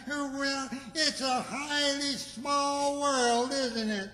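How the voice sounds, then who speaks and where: A man's voice: very high voice, fairly even intonation, drawn-out words, bright, forceful tone, heavily acted. A man playing a cheerfully oblivious, rambling small-town Southern character in old-time radio comedy, chuckly and folksy. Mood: cheerful, chuckling. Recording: echoey hall, long reverb.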